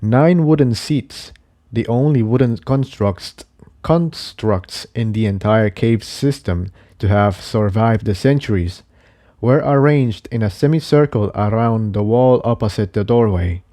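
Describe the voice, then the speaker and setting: A man's voice: fairly low pitch, unhurried, dark tone. A man narrating an adventure story from a script. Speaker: narrator reading fan fiction.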